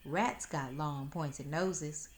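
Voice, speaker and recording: A woman's voice: low-pitched, extremely slow. A woman voicing a sassy young country girl in a storybook, teasing and matter-of-fact in rural dialect.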